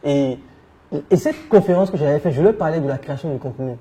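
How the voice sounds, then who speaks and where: A man with a West African French accent, answering conversationally as an interview guest, telling his experience in an animated way. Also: on the high side, fast. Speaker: interview guest, entrepreneur and coach.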